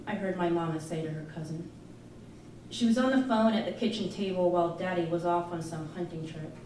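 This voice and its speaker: A woman giving an expressive live reading of a first-person novel, narrating as a candid, troubled young girl. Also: fairly low pitch, a little hiss or hum. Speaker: reader at a live literary performance. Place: live performance in an arts venue. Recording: echoey live room, distant mic, music. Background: music playing, guitar.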